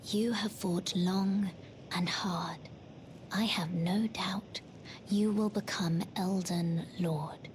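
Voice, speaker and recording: An actress playing a solemn, gentle maiden guide in a fantasy game, speaking softly with formal, measured diction. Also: female voice, fairly even intonation, poor audio. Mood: earnest, resigned. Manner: measured, formal, soft-spoken. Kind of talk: scripted fantasy game acting. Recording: clear background rumbling noise.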